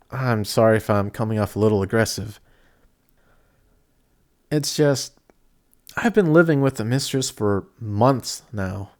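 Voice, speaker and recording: A young man playing a kindhearted apprentice sorcerer in an audio roleplay, chatty, excitable and easily flustered, with some stammering. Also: fairly low pitch. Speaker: voice actor. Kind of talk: amateur audio roleplay acting addressed to the listener.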